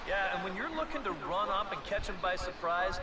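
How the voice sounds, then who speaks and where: Male color analyst for video game baseball, conversational and explanatory, high-pitched, buried in loud background noise. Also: animated, brisk. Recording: distant game audio, echoey and very noisy.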